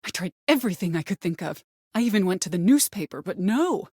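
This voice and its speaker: An actress playing a vengeful, bitter middle-aged mother in a video game, commanding and threatening, with deliberate delivery and cold control. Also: mid-range voice, expressive, mellow, slightly rough, no echo, studio quality. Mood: bitter, accusing. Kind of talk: dramatic video game voice acting.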